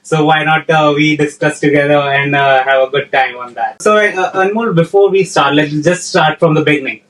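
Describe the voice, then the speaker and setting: A man's voice: fairly high pitch, a little fast, fairly bright tone, rough recording. A man with a slightly raised voice hosts a podcast from his office. He speaks hesitantly, with frequent "uh" and "like", as he guides the conversation. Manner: hesitant, many "uh" and "like" fillers. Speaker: podcast host. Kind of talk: casual interview conversation.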